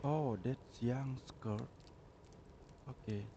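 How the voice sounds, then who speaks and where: A man's voice: medium pitch, drawn-out words. A man live streaming a game, giving casual, hesitant reactions to what happens. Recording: dull lo-fi mic, faint background noise.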